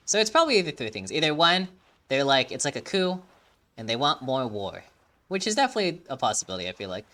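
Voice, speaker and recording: A woman's voice: a bass voice, fairly bright tone. A woman streamer giving casual let's-play commentary, stammering and swearing freely as she reacts to the story. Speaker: gaming streamer.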